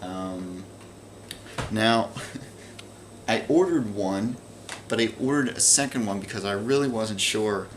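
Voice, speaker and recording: Deep-voiced man rambling casually. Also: slow pace, long pauses, slight background noise. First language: English. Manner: rambling, many fillers and restarts. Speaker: video game collector vlogger. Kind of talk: casual unscripted show-and-tell.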